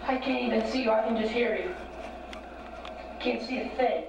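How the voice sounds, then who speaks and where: Frightened, halting stranger lost in darkness played by an amateur, horror audio drama. Very echoey, noisy tape. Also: medium pitch, vocal fry, natural voice. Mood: bewildered. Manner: halting, questioning, bewildered. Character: frightened, confused stranger trapped in a dark room. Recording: muffled, noisy old cassette, echoey and distant.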